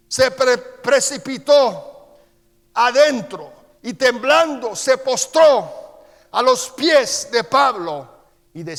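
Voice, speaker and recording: A middle-aged man with a Costa Rican accent preaching a sermon as pastor. He reads Scripture slowly and with emphasis, then turns quieter as he tells personal stories. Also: extremely high pitch. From Costa Rica. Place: church sanctuary. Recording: long church-hall reverb.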